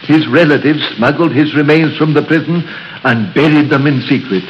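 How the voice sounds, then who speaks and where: Male actor as a solemn church elder, slightly high voice; dull old radio sound. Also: a little hiss or hum, close to an everyday voice. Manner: slow, solemn, measured storytelling. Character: grave local church elder telling legends. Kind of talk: 1930s radio drama acting.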